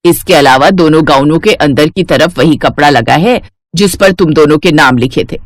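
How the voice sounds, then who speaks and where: A sly middle-aged stepmother from a cartoon fairy tale, acted by a woman, pitched low and dryly recorded. She is bossy and coaxing, full of contempt and schemes. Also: normal speed, no echo, studio quality. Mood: conniving. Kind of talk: Hindi cartoon story character acting.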